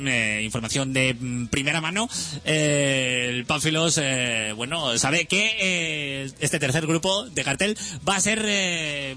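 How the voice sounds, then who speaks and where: Bright-voiced man, halting radio chat. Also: on the high side. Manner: hesitant, full of "eh" fillers and restarts. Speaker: radio music show host. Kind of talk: casual rambling radio chat.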